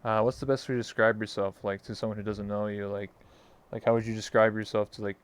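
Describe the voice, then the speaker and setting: Rambling talk show host with a smooth voice. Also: male voice, fairly low pitch, flat delivery, quick pace. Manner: rambling, filler-heavy. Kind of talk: casual interview chat.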